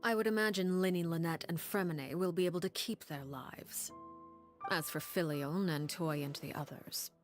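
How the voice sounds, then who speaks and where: A cold, measured matriarch who threatens softly and never raises her voice, as voiced by a woman. Her tone sits low and even, with faint music playing behind her. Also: lightly acted. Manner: calm, measured, quietly menacing. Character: cold, poised woman leading a ruthless house. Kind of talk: video game voice acting.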